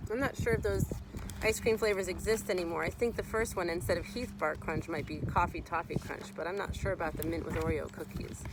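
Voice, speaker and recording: A woman's voice: lowish voice, steady tone, fairly quick, heavy background noise. A woman reading a children's nonfiction book aloud as a teacher would, explaining and speaking to young listeners.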